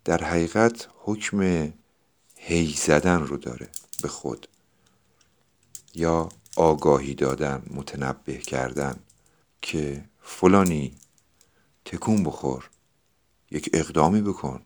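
A man with an extremely low, deep voice speaks as a podcast host. He explains classical poetry in a deliberate, reflective way.

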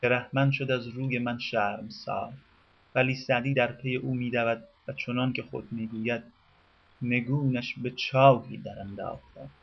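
A man's voice: mid-range voice, steady tone, gravelly. Man reading formal radio narration, lo-fi. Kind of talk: scripted radio narration with recited classical verse.

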